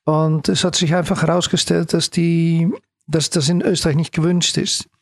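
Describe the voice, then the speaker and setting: A middle-aged man from the Netherlands with a Dutch accent, a native Dutch speaker answering interview questions in halting, hesitant phrases as a sports coach. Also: fairly high pitch, flat delivery, no echo, studio quality.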